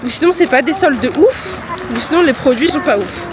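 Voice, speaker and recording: A woman's voice: high-pitched, expressive, fairly quick, background noise. A young woman with a head cold chatting casually to her phone camera for a vlog, upbeat and full of slang. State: congested with a cold. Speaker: vlogger. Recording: music playing under the voice.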